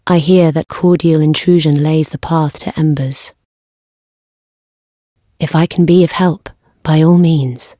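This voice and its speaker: A woman's voice: sits low, mellow, no echo, clean studio sound. An actress playing a reserved, earnest young lady knight in a fantasy game, speaking with formal, courteous, old-fashioned phrasing. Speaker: video game voice actor.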